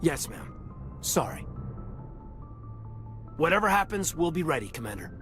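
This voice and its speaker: A man playing a thoughtful, dutiful military lieutenant in a video game, measured and respectful. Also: fairly high pitch, big pitch swings, fairly bright tone. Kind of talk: video game dialogue acting. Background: music.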